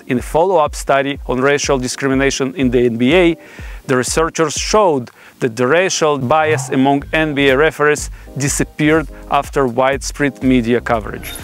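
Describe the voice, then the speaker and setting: A man in his forties gives a scripted explainer in a formal, academic manner, speaking as a presenter. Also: mid-range voice. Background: background music.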